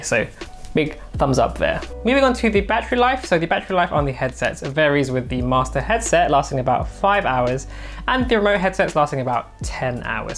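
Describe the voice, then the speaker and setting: A man's voice: on the high side, fairly bright tone. A man, presenting a product review to camera in a small home studio. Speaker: tech reviewer and host. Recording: music bed under the voice. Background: background music.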